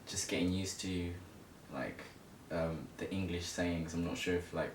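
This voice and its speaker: Very deep-voiced young man, halting and informal. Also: steady tone. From Zimbabwe. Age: early twenties. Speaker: interview guest. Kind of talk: casual personal storytelling. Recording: echoey room, distant mic, rumbling noise.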